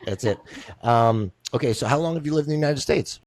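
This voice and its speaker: A man's voice: mid-range voice, fairly quick, a little mellow, no room sound. A man hosting an interview on a video call, jokey and rambling, often repeating himself. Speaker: interview host. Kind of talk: casual interview.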